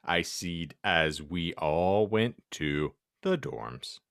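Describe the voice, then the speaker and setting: A man hosting a podcast, giving a theatrical comic reading of fan fiction and halting over odd words. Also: deep voice, a bit bright, clean tone, dry recording, studio quality. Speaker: podcast host and narrator.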